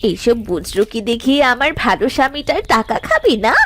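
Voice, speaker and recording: A woman's voice: fairly high pitch, sing-song, a little fast, a bit bright. A woman voicing a feisty invisible young wife in a cartoon, with a scolding, taunting, theatrical manner. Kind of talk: animated cartoon voice acting.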